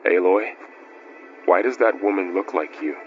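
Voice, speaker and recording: Earnest, puzzled male companion. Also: sits low. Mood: puzzled, curious. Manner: questioning, sincere, measured. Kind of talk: naturalistic video game dialogue. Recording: muffled game audio with music.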